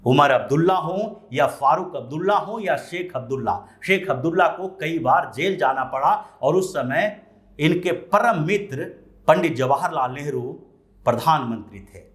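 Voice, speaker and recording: Male news commentator, slightly high-pitched, explanatory political analysis. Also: lively intonation, normal speed, fairly bright tone.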